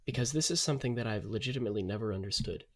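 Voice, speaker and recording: A man's voice: on the low side, racing. A man co-hosting a podcast in casual comic chat, talking informally and with emphasis. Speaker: podcast co-host.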